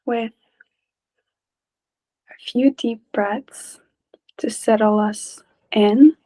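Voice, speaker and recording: Slow, mellow woman guiding meditation. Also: on the high side, low-quality recording. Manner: gentle, calm, unhurried, long pauses. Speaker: meditation teacher. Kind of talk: guided meditation.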